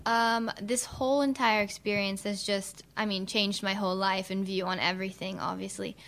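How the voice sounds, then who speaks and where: A woman's voice: mid-range voice, fairly even intonation, moderate pace, gravelly, a bit bright. A young woman answering questions as a radio interview guest. She speaks earnestly and reflectively, and laughs easily.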